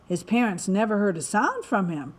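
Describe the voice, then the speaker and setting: A woman narrating a children's story in an expressive, dramatic way, stretching words for emphasis. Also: mid-range voice, normal speed, very noisy. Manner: animated, dramatic delivery with emphatic word stretching. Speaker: storybook reader.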